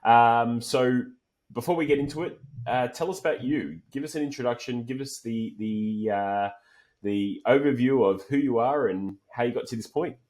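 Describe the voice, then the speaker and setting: Male host, relaxed and conversational, average pitch. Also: normal speed. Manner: casual, with repeated words and fillers. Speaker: podcast host. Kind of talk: interview hosting.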